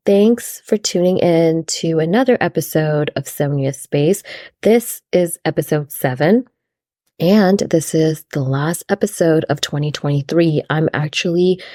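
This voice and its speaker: Young woman host, congested and stuffy, friendly chatty delivery, low mellow tone. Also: no echo, clean studio sound. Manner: friendly, open, with fillers. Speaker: podcast host. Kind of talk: casual solo podcast talk.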